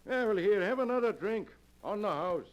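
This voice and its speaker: An actor playing a pushy, streetwise bartender with slangy wisecracking delivery in 1940s radio style. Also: male voice, high voice, expressive, normal speed, dull audio. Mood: relieved, joking. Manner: slangy, pushy, punctuates with "see?". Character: tough, suspicious wisecracking male bartender. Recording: vintage 1940s radio recording.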